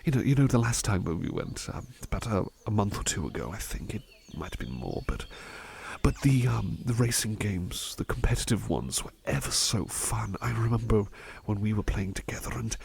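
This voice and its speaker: An attentive butler played by a man in an ASMR roleplay, with a lowish voice full of soft fry. His pitch moves around in a lively way as he rambles gently and hesitantly. He is courteous and eager to please. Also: normal speed, a little hiss or hum. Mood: fond, amused.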